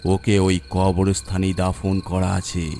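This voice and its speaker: A man's voice: sits low, completely flat delivery, slow, warm tone, slight background noise. A man voicing an ominous, grave village elder in a horror story. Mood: mocking, ominous. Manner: grave, foreboding.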